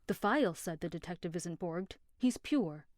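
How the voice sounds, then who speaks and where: A woman's voice: on the low side, lively intonation, normal speed, no echo, close to an everyday voice. A woman as a terse, earnest young henchwoman, close and dry. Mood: guarded, serious. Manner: terse, serious. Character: earnest, guarded young female crime henchwoman. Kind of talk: audio drama acting.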